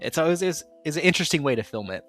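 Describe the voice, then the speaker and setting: Playful man hosting a tabletop podcast, slightly high-voiced. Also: expressive, racing, a bit bright, soft background music. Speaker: podcast host and game master. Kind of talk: casual hosting of an actual-play tabletop podcast.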